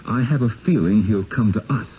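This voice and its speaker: An actor playing a skeptical, wary husband in 1970s radio drama style, speaking in an urgent, halting but controlled way. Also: male voice, on the low side, close to an everyday voice. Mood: urgent, conspiratorial. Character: skeptical, wary husband trapped in sinister village. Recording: dull, hissy old radio broadcast.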